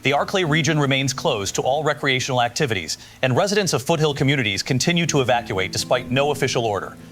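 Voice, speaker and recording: Male news anchor, acted for audio drama: composed, formal, brisk bulletin delivery over music. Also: medium pitch, lively intonation, fairly bright tone, close to an everyday voice. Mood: composed, serious. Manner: formal, measured newsreader delivery.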